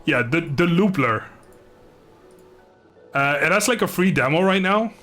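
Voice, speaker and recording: A man's voice: on the high side, expressive, unhurried, a bit bright. A man giving casual, rambling, self-deprecating commentary as he livestreams a video game. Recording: music playing behind the voice.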